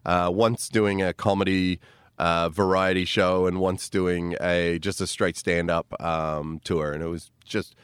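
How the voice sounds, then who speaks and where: A man's voice: low voice, steady tone, a little slow, clean tone, no echo, studio quality. A man, a comedian chatting as a podcast guest, rambling and self-deprecating with lots of fillers. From New Zealand. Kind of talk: casual interview chat.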